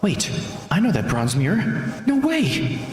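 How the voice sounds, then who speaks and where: A man's voice: high voice, wildly swooping pitch, unhurried, faint music behind, pitched above the performer's own voice, heavily acted. A man voicing an earnest, curious young woman who is the polite heroine of a visual novel, speaking expressively. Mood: astonished, curious. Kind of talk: visual novel character read aloud.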